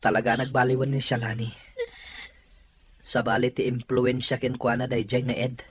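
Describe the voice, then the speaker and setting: A man's voice: mid-range voice, monotone, normal speed, slightly rough, close to an everyday voice. An actor playing a concerned, protective young man in a radio drama, earnest and sympathetic. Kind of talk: radio serial drama acting.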